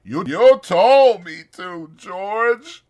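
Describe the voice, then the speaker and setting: A big, simple-minded man for an audiobook, childlike and scared. The voice climbs high into a bleating, pleading wail, loud and swooping. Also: animated, extremely slow, full character voice. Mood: miserable, whimpering. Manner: wailing, bleating.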